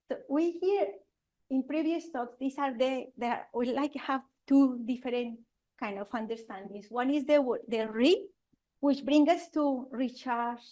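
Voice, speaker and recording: A woman's voice: a little high, mellow. Colombian-accented woman presenting to an audience. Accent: Colombian Spanish. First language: Spanish. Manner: earnest, halting, with restarts and fillers. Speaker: architect giving a talk. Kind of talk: conference presentation. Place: lecture venue with audience.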